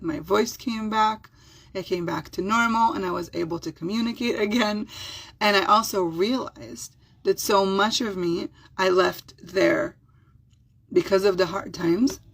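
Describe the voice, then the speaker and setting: A middle-aged woman whose first language is Hebrew, speaking with an Israeli accent and giving a soft, soothing self-help talk as a spiritual healer. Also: medium pitch, vocal fry, slightly rough.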